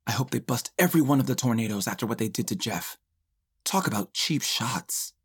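A man doing a hushed, hoarse teen boy. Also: mid-range voice, lively intonation, a little fast. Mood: bitter.

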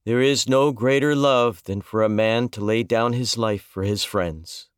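A man voicing a soft-spoken, gentle reverend. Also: medium pitch, clean tone, no echo, studio quality, natural voice. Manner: soft-spoken, measured, consoling. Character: calm, gentle middle-aged male reverend.

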